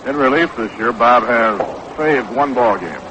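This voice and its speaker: A man's voice: medium pitch, animated, measured pace, lo-fi sound, background noise. An American man calling baseball play-by-play in the style of a 1960s live radio broadcast. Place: outdoor ballpark broadcast booth.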